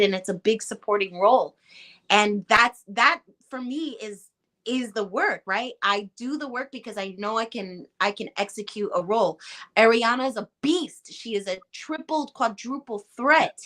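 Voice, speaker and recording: A woman with a Chicago Puerto Rican accent speaks as a guest in a casual conversation. Her voice is bright and ringing, and she talks with passion and emphasis. She acts out the reactions she quotes. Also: on the high side, moderate pace. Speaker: actress guest.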